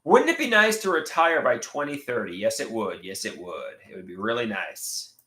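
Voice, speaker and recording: A man's voice: mid-range voice, big pitch swings, normal speed, pushed and bright. A man in his late thirties chatting casually on a livestream and reading viewer comments aloud, with a stuffed nose. He halts often, repeating words and restarting sentences. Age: 30s. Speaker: livestream host. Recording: echoey room.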